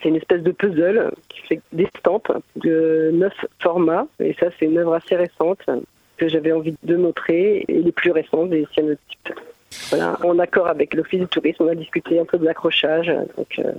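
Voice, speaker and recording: Husky woman on a narrow phone-like line. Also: low-pitched, flat delivery, moderate pace, no room sound. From Mamers, France. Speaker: visual artist, interview guest. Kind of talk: conversational radio interview answers. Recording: muffled phone-like narrow band.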